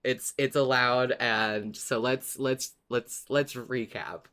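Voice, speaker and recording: A man co-hosts a fan podcast in a medium-pitched voice. He is wry and playful, with a fan's enthusiasm. His delivery moves between casual chat and storylike recap. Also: fairly even intonation, takes it slowly, bright, forceful tone. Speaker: podcast co-host.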